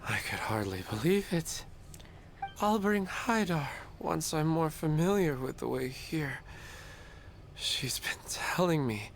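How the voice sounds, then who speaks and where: A gentle, earnest young husband. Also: male voice, a little high, lively intonation, slow, gravelly, slight background noise, exaggerated voice. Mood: happy. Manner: earnest, soft-spoken and confused. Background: game music.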